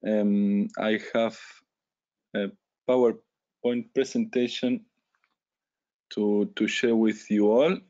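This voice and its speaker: A man's voice: on the low side, flat delivery, slow pace, pauses often, dark tone. Hesitant man presenting on a call. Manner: careful, with hesitations and self-corrections. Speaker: webinar speaker. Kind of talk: formal presentation. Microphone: video call. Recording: dull lo-fi call audio.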